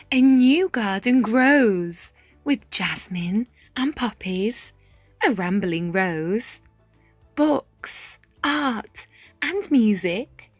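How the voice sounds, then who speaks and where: A woman reading a rhyming children's story aloud in an expressive, measured way, with dramatic pauses and hushed moments. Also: mid-range voice, no echo. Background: background music.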